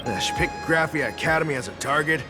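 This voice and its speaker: An actor voicing a polite, easygoing official in a video game, explaining things in a hesitant, patient way. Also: male voice, fairly high pitch, quick pace, close to an everyday voice. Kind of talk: video game character acting. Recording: game music behind.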